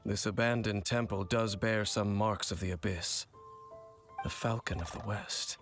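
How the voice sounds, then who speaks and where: A brooding video game swordsman with a lowish voice. He speaks formally and with measured gravity, like someone weary of a long journey. Music plays behind him. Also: fairly even intonation, fairly bright tone. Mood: brooding, watchful. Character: stoic, world-weary male wandering swordsman opposing the Abyss. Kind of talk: scripted video game dialogue.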